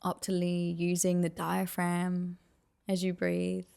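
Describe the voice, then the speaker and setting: A woman leading a guided meditation with soft, soothing, unhurried and gently encouraging instruction. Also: fairly low pitch, completely flat delivery, creaky, clear, resonant tone.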